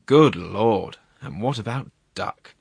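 A man's voice: fairly low pitch, lively intonation, takes it slowly, creaky, husky, dry recording, clean studio sound, heavily acted. Man as a coaxing, gluttonous court secretary. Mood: rapturous. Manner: savouring, coaxing, hushed confidential delivery. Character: wheedling, gluttonous court secretary rhapsodising about food.

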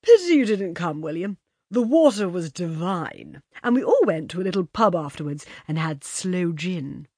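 A woman voicing flamboyant, flippant young bohemian men in an audiobook, loud, hearty and affected. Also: lowish voice, big pitch swings, moderate pace, few pauses, husky, dry recording, studio quality, exaggerated voice. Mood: playful, showy. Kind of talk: audiobook character dialogue.

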